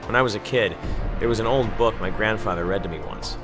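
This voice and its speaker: A man playing a sombre war chronicler, giving measured, dramatic narration for a video game cutscene. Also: fairly low pitch, natural voice. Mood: sombre, reflective. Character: sombre male war correspondent recounting a grim war. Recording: loud music under narration.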